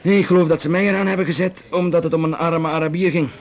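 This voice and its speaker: An actor playing a courteous, flirtatious Flemish police detective in a naturalistic radio drama, speaking hesitantly with dry humour. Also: male voice, fairly high pitch, steady tone, fast, natural voice. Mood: matter-of-fact, grim. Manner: hesitant, dryly joking, conversational.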